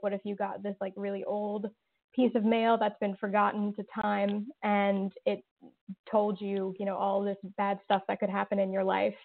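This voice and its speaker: A woman's voice: medium pitch, creaky. A woman, a horror writer and interview guest, answering thoughtfully with lots of fillers and restarts. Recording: tinny phone-like line.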